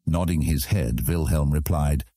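A man narrating a fantasy audiobook in a measured, dramatic storytelling manner. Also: extremely low pitch, dark tone, no room sound, studio quality.

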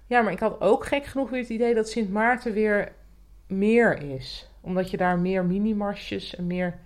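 A woman's voice: mid-range voice, steady tone, moderate pace. A woman, chatting casually as a podcast co-host. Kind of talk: casual chat.

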